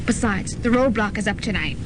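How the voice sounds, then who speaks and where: A woman playing a bossy, sensible freshman girl with a matter-of-fact deadpan manner in a student radio comedy. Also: fairly quick, natural voice. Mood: complaining, reassuring. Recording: noisy student radio recording with rumble.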